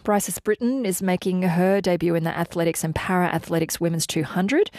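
A woman's voice: lowish voice, moderate pace, darkish tone, no echo, clean studio sound. A woman hosting a live radio show, upbeat and bantering with her guest and producer. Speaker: radio host. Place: radio studio. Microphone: studio mic, dry.

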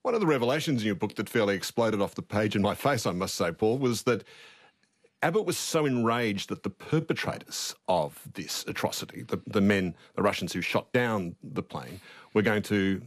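A man's voice: lowish voice, lively intonation, normal speed, no room sound. A man interviewing a guest in a conversational broadcast style, with probing, colourful phrasing.

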